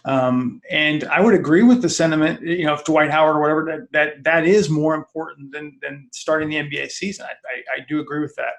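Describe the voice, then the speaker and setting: A man speaking as a guest in a casual sports discussion, in a measured, hesitant way. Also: on the high side, fairly even intonation, gravelly, rough recording. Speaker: fan site founder guest.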